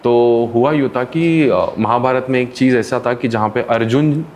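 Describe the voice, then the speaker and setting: A man's voice: mid-range voice, moderate pace. A man, an interview guest who works as a counsellor, telling stories in casual conversation and acting out the people in them. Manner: animated, acts out quoted people with voices. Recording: faint background noise.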